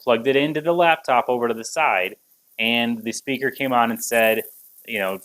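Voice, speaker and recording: A man's voice: mid-range voice, moderate pace, fairly bright tone, poor audio. A man in his thirties gives a conversational, step-by-step product demo in an explanatory manner. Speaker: tech reviewer and presenter.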